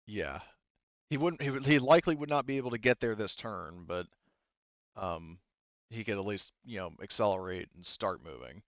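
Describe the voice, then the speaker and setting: Low, smooth-voiced man thinking aloud. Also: animated, moderate pace, no room sound, highs cut off. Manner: hesitant, thinking aloud, explanatory. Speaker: game master. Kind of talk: casual tabletop game running.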